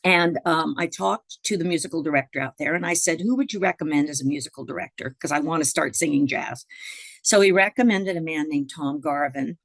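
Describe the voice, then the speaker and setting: A middle-aged woman from Miami, a jazz singer, telling her story in a relaxed interview, chatty with fillers and restarts and frequent laughs. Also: deep voice, brisk, no room sound. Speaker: guest jazz vocalist.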